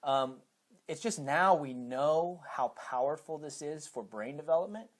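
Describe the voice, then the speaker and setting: A man's voice: on the high side, expressive, measured pace. A man with an American accent, a teacher being interviewed, who explains things step by step with examples. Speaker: high school teacher and program coordinator, interview guest.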